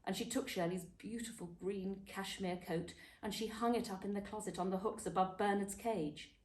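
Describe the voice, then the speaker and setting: A woman reading a children's book aloud as a storyteller, expressively and dramatically, with emphatic pauses and stressed words. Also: mid-range voice, steady tone, a little mellow. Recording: echoey room.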